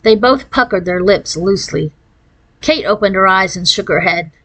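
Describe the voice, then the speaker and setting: A woman's voice: mid-range voice, normal speed, fairly bright tone, rough recording. Woman reading a children's novel aloud. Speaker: storybook reader. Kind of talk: scripted narration, children's novel read aloud in first person.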